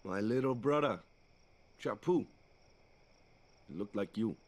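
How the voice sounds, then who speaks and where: An actor playing an easygoing, big-brotherly young island ballplayer in a video game, talking in a casual, folksy way. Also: male voice, on the low side, expressive, takes it slowly. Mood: subdued, confiding. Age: young adult. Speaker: guardian and older friend. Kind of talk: video game cutscene acting.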